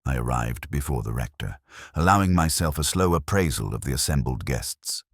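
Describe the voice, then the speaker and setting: Very deep, measured male mystery narrator. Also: lively intonation, slightly rough, no echo, clean studio sound. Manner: measured, literary, formal. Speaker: first-person detective narrator. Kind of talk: scripted audiobook-style mystery narration.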